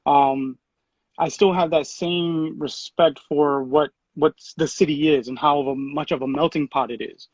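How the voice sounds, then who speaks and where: A man in his thirties, a city program director, answering interview questions in a relaxed way with lots of fillers and restarts. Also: fairly high pitch, measured pace, dry recording, muffled recording. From Puerto Rico.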